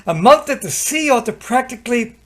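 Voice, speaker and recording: A high-pitched male actor's portrayal of an ailing husband in his fifties, in old-time radio drama style. He is genial and expansive in manner, with a hesitant, theatrical delivery. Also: animated, brisk, fairly bright tone, full character voice. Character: ailing, hearty, generous husband of fifty-five.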